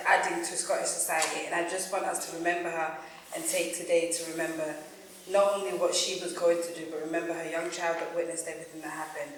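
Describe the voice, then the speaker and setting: An organiser speaks to a gathered group in an earnest, solemn tone. The voice is distant from the mic in a very echoey room, with faint noise behind it. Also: mid-range voice. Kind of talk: speech to a group.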